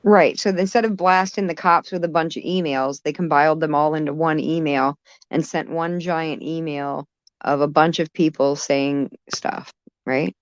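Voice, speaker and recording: A woman's voice: low voice, fairly even intonation, moderate pace, dry recording. A woman chatting casually on a live stream in a blunt, profane way, on slightly dull, lo-fi audio.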